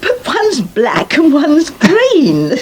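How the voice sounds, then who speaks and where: An actress playing a teasing, gently nosy older English aunt in a naturalistic radio soap. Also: female voice, high voice, huge pitch swings, extremely slow, full character voice. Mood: amused, teasing. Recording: faint noise, 1980s radio drama.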